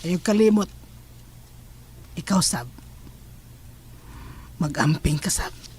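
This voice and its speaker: A woman playing a watchful, fearless middle-aged mother in a horror audio drama, speaking in a grave, measured way that sometimes drops to a hush. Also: lowish voice, animated. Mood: resolute. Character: watchful, fearless mother figure facing hidden enemies.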